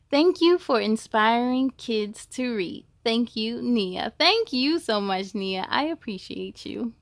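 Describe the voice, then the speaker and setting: A woman's voice: on the high side, no room sound. A woman hosting a children's read-aloud, enthusiastic and speaking straight to young listeners, with storybook reading and chatty asides.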